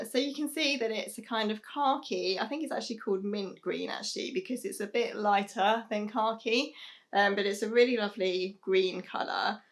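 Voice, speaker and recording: A young British woman chats to a camera set up in a small sewing room at home. Her voice is a little croaky and worn. She rambles in a friendly way, full of ums and soft laughs. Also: medium pitch. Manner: chatty, many "um"s, soft laughs. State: hoarse, losing her voice. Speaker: sewing vlogger. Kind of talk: casual chat to camera.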